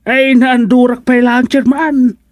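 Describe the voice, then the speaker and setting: A man's voice: very high voice, flat delivery, a little slow, no echo. A man playing a deferential, hesitant villager in an Ilocano radio comedy serial. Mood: chatty, amused.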